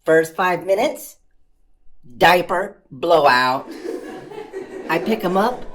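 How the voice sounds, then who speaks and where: A woman voicing a cheeky, self-amused puppet comedian who tells stand-up stories with dramatic pauses and exaggerated emphasis. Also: fairly low pitch, expressive. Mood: mock horrified. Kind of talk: family-friendly stand-up comedy storytelling.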